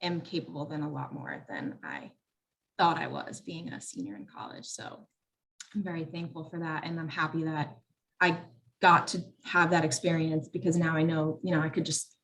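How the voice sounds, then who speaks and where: An earnest young woman in her twenties on a discussion panel. Her voice sits fairly low. She sounds a bit distant from the mic. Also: animated, normal speed, a bit bright. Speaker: panelist, threat detection analyst.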